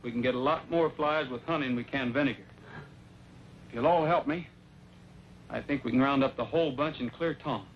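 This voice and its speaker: Man as cowboy hero, brisk delivery, calm, decisive, plain-spoken, Western drama, muffled old recording. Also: medium pitch, natural voice. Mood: reassuring, planning. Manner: plain-spoken, steady, reassuring. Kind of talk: mid-century Western drama acting. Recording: dull, noisy old radio recording.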